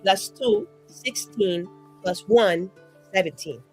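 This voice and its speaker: A woman talks as a player in a tabletop roleplaying game. Her pitch sits in the middle for a woman, and her talk is loose, slangy and excitable. Faint music plays under her voice. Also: takes it slowly, rough recording. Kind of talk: casual, improvised tabletop game chat.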